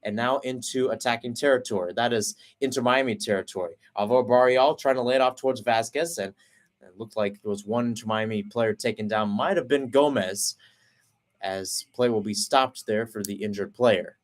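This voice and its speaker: A young man calling live soccer play-by-play in a brisk running commentary, often correcting himself mid-phrase. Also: mid-range voice. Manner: brisk running call, frequent self-corrections.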